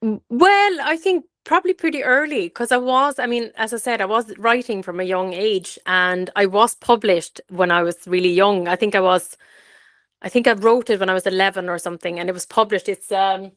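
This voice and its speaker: A woman from Sweden who speaks English as a second language gives casual interview answers. Her voice sits at a middle pitch and her talk is chatty, often doubling back on itself. The sound is dry, with little room in it. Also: moderate pace, fairly bright tone, no echo. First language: Swedish. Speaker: interview guest, fiction author.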